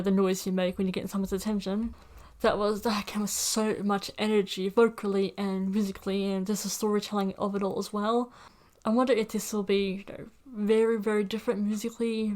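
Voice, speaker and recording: A woman's voice: medium pitch, a little mellow. Rambling woman chatting casually to camera. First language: English. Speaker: reaction video host. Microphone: USB desktop microphone.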